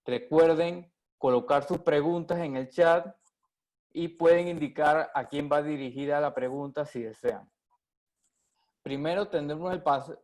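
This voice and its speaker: A man moderating a webinar over a video call, reading a formal introduction in a measured way. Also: fairly high pitch, a little mellow.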